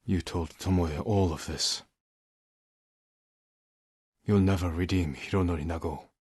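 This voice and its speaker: A grave samurai warrior for a video game, husky and recorded close and dry. He is resolute and honour-bound, and he delivers his short, measured lines with solemn conviction in a somewhat low register. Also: fairly even intonation, a little mellow, no echo. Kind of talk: dramatic scripted game dialogue.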